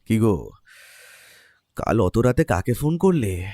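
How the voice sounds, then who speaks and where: A man playing an easygoing writer, the detective's companion, narrating a Bengali audio mystery in the first person with expressive storytelling. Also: fairly low pitch, slow pace, full character voice. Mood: reflective, narrating.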